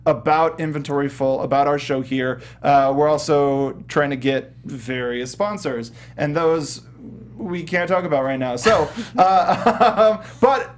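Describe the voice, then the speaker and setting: A man's voice: a little high, measured pace. A man hosting a casual gaming show from a studio, talking in a rambling, hesitant, enthusiastic way. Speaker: show host.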